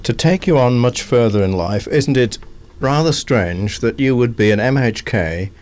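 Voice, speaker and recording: A man with a medium-pitched voice works as a radio interviewer. He puts questions conversationally and in a measured way, often ending on a short tag to prompt an answer. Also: lively intonation, a little mellow.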